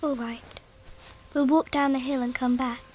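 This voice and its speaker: A woman's voice: high voice, fairly even intonation, normal speed, a little mellow, a little hiss or hum, close to an everyday voice. A woman playing an earnest, tender-hearted little girl in a radio drama, with a wide-eyed manner. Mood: tender, subdued. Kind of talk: radio theatre acting.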